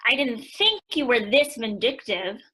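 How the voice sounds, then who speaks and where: A woman's voice: on the high side, very animated, a little slow, sharp tone, heavily acted. An actress playing a hot-tempered, indignant teenage girl writer in a radio play, with an emphatic, accusing delivery.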